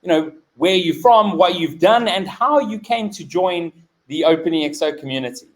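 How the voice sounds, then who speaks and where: A man hosting a live online interview, speaking with halting, hesitant phrasing. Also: high-pitched, expressive, poor audio. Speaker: host.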